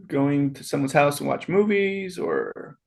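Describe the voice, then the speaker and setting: A man in his fifties co-hosting a podcast, joking about himself while reminiscing about high school. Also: expressive, measured pace, gravelly. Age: 50s. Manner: self-deprecating, joking, wry. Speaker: podcast co-host. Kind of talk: casual reminiscing chat.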